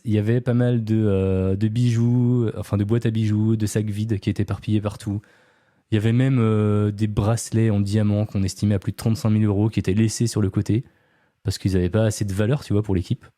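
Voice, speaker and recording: Male podcast host, low and very warm, relaxed chatty crime storyteller. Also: dry recording, clean studio sound. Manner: relaxed, chatty, frequent fillers and asides to a co-host. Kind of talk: casual true-crime storytelling.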